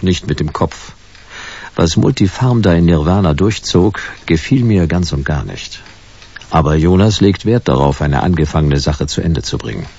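A man's voice: sits low, creaky, a little mellow, slightly rough, dull audio, exaggerated voice. An actor playing a stubborn, hard-boiled private detective narrating his case laconically in a noir radio play. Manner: laconic, dry, clipped sentences.

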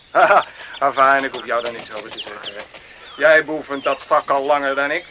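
A man's voice: medium pitch, fairly even intonation, moderate pace, lightly acted. An actor in a radio play playing a jovial, boastful young countryman, chatty and hearty. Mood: smitten, boastful. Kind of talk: radio drama acting. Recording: noisy old radio play, outdoor clicks.

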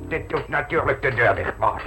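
An actor in a radio play, playing a wry gentleman who chats and gossips over breakfast. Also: mid-range voice, expressive, normal speed, soft background music. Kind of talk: radio drama acting.

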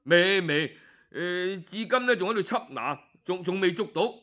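A man's voice: high voice, animated, a little slow, highs cut off. A man with a Cantonese accent playing a sly, nervous courtier in a story, stammering evasive excuses. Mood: flustered.

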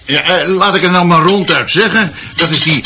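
High-pitched, pompous, tipsy man grumbling. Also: moderate pace, pushed and bright. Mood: pompous complaining. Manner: pompous, ranting, repeats himself. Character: tipsy, blunt, pompous lodger grumbling at the dinner table. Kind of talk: Dutch radio drama acting. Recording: noisy, clattering cutlery and dishes.

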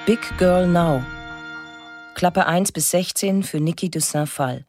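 A woman's voice: lowish voice, measured pace, music in the background. An actress playing a woman announcer presenting a radio play in a formal style.

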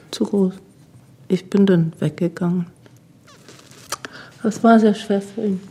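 A woman recalling her personal past in an interview, halting and understated, in a reflective way. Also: medium pitch, creaky, dark tone, breathy edge. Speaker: interviewee recalling a former partner.

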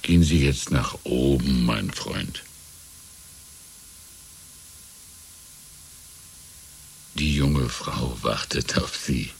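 An actor playing a wistful, deferential old shopkeeper who talks in a halting, musing way, in a 1970s radio drama. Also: male voice, rumbling low, slightly rough, heavily acted, poor audio. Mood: solicitous. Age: older. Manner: halting, musing, repeating himself. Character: wistful, deferential old shopkeeper reminiscing about lost freedom.

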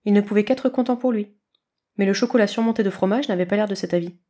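A woman reading a scripted story aloud as an expressive narrator. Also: mid-range voice, fast talker. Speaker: podfic reader.

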